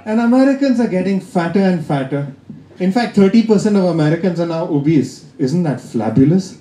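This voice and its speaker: A man's voice: high-pitched, expressive, fairly quick, warm tone. Indian man doing stand-up, roomy club. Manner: dry, teasing delivery with crowd work. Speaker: stand-up comedian. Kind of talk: stand-up comedy set. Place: comedy club stage. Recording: roomy live club sound.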